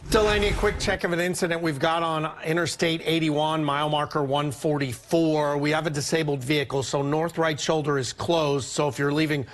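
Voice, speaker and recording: A man's voice: on the high side, fairly even intonation, fairly quick, few pauses. Conversational male morning news anchor. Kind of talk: conversational live TV news delivery.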